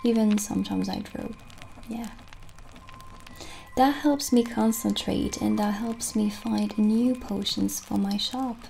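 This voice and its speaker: A woman in an ASMR roleplay playing a gentle, friendly witch who keeps a potion shop, speaking softly and soothingly without hurry. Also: medium pitch, steady tone, vocal fry, slight background noise. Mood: calm, friendly. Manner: soft, unhurried, soothing. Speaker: ASMR performer. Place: small dim candlelit room, indoors. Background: soft music.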